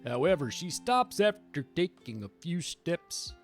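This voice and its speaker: A man's voice: on the high side, sing-song, unhurried, gravelly, music in the background. A man streaming a visual novel, reading aloud theatrically and adding crude, casual asides.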